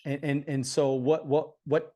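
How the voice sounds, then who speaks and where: An American man with a slightly high voice hosts a podcast. He talks casually, like a buddy, and often doubles up on words as he works through his questions and remarks. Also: level tone, a little slow, mellow. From Southern California, US. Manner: informal, buddy-like, repeats words as he goes. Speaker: podcast host. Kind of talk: casual interview chat.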